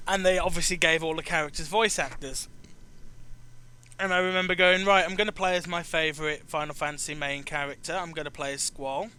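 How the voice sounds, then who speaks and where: A man in his twenties with a fairly high, forceful voice talks casually as an interviewer. His delivery is clean and resonant. He is earnest and tends to restate a thought before finishing it. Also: fairly even intonation, fairly quick, clean tone. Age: 20s. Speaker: interviewer and show host. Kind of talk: casual chat about video games.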